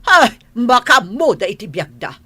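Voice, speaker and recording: Sharp, swooping haughty rich aunt. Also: female voice, on the high side, sing-song, a little slow, breathy edge, exaggerated voice. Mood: scornful. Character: haughty, scornful rich aunt who runs the household. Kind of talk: Filipino radio drama acting.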